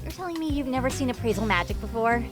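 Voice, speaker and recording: A woman's voice: fairly high pitch, expressive, quick pace, over music, natural voice. A woman voicing a brash, chatty young thief in an anime parody, cheeky and breezy, prone to rambling. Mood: chatty explaining.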